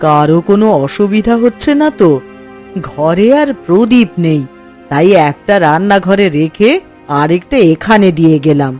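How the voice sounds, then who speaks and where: A woman's voice: on the low side, moderate pace, darkish tone, faint music behind, natural voice. A woman voicing a caring, bustling village woman in a cartoon, motherly and fussing. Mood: attentive. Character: caring, bustling village woman feeding her household and guests. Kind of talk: cartoon voice acting.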